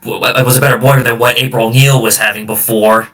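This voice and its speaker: A man's voice: medium pitch, steady tone, quick pace, a bit bright. A man hosting a podcast in casual film chat, hesitant and often repeating himself, recorded at a distance from the microphone. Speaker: podcast host.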